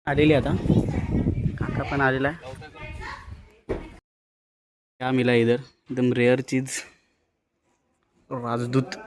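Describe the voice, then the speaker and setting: A man's voice: mid-range voice, level tone, slow pace, pauses often, a crowd in the background. A young man chatting casually and teasingly to his vlog audience, switching languages. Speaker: vlogger. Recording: clearly noisy outdoor handheld recording.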